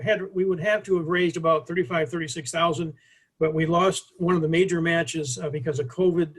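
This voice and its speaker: A man's voice: on the high side, a bit bright. A man giving a hesitant, filler-heavy project presentation over a video call.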